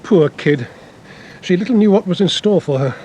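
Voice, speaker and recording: Higher-voiced, cautious English gentleman. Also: expressive, moderate pace, muffled recording, a little hiss or hum. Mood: regretful. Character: cautious, dignified titled gentleman investigator. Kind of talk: British radio drama acting.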